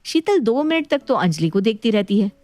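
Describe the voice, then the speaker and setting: A woman's voice: on the high side, sing-song, normal speed, darkish tone, no echo. A woman narrating a scripted domestic tale as storyteller.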